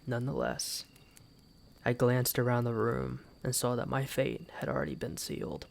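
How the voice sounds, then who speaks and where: A man playing an embittered exiled knight in a fantasy audio drama, speaking formally and solemnly with a gravelly voice and dramatic pauses. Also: medium pitch, very animated. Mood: bitter, resigned. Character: embittered exiled knight, wounded pride, grieving for his mother. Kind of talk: first-person fantasy roleplay audio drama.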